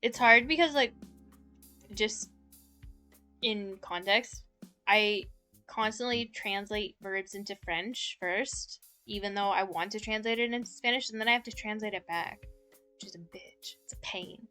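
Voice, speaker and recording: A young woman streamer chatting casually with her viewers, relaxed and giggly, practicing halting Spanish. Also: mid-range voice, faint music behind.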